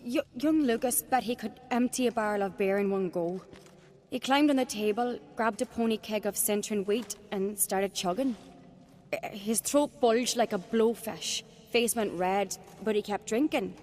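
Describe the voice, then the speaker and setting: A woman's voice: medium pitch, normal speed, a little mellow, natural voice. An actress playing a level-headed, headstrong young clanswoman in a video game, speaking earnestly and firmly. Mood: shaken, vivid. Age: young adult. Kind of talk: dramatic video game acting.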